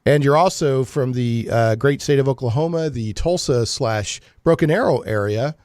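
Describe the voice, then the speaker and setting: Casting: male radio host, chummy and rambling, middle-pitched voice, dry intimate recording. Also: normal speed, darkish tone, no room sound. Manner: chummy, jokey, digressive. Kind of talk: casual, rambling interview chat.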